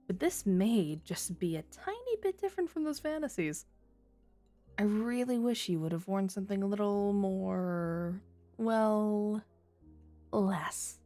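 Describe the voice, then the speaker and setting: Woman acting as female criminal, flirty, coy, sultry teasing, mid-pitched, ASMR roleplay, faint music behind. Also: dark tone. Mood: seductive, teasing. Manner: coy, sultry, playful innuendo.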